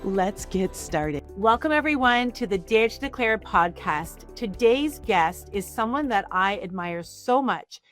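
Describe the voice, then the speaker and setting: Woman doing podcast host stuff, intros and questions, mid pitch, bit of music around. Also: expressive, a little slow. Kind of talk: scripted podcast intro and interview.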